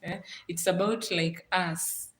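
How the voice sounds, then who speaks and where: Female livestream host, Kenyan accent, slightly low voice, conversational and repetitive for emphasis, roomy sound. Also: fairly even intonation, takes it slowly. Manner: repeats phrases for emphasis. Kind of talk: casual livestream talk. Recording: roomy echo, clear background noise.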